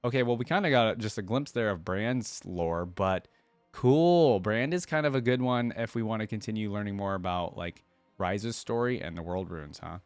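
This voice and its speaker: Male reaction host, lower register, casual read-aloud commentary, very dry recording. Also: normal speed, no echo, soft background music. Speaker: host of a game reaction video.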